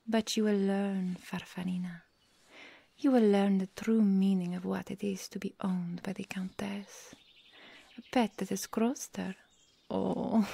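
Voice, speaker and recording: Woman as a gravelly, scornful vampire. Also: mid-range voice, mellow, reverberant. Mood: menacing reproach. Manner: cold, formal, disdainful, menacing. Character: stern, scornful female vampire, loyal servant of a countess. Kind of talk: ASMR roleplay audio drama.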